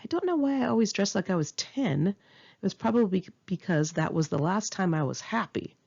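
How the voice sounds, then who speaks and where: Female narrator for candid memoir, animated delivery with some vocal fry, slightly dull lo-fi sound. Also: mid-range voice, a little fast. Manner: candid, informal, wry. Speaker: author reading own memoir aloud. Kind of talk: conversational memoir narration, read in one take.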